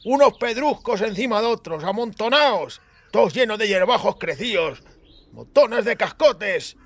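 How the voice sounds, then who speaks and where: High-pitched rustic fisherman. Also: animated, unhurried, fairly bright tone, dry recording, heavily acted. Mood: scornful. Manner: rustic dialect with clipped word endings. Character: frightened rustic peasant fisherman, gruff and wary.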